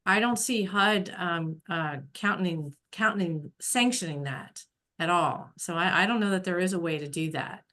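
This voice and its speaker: A woman's voice: on the low side, unhurried, fairly bright tone. A woman on a webinar panel, answering hesitantly and repeating words as she weighs a legal question. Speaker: panelist on fair housing.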